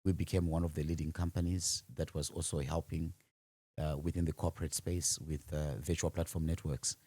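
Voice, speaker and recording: A man's voice: extremely low pitch, flat delivery, fairly quick, dark tone, breathy edge, no echo. A man with a Zimbabwean accent speaking as a podcast guest, answering interview questions conversationally about his own work. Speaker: podcast guest, audiovisual and livestreaming business founder.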